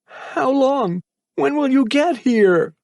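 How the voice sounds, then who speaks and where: A man's voice: very high-pitched, expressive, takes it slowly, vocal fry, a little mellow, no echo, studio quality, pitched above the performer's own voice, full character voice. A man voicing a desperate, abused teenage boy who pleads in a trembling, breathless way. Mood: pleading.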